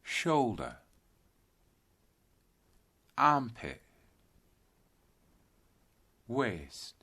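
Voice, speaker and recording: A man guiding a yoga nidra meditation in calm, deliberate, instructional phrases with space between them. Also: on the low side. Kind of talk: guided meditation narration.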